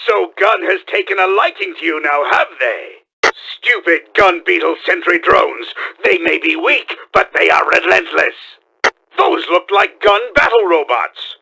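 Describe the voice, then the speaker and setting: A man voicing a pompous, boastful mad scientist villain in a video game, with a gravelly, forceful voice and theatrical, commanding delivery. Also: high voice, unhurried, heavily acted. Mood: haughty, commenting. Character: boastful, scheming middle-aged male mad scientist villain, bombastic.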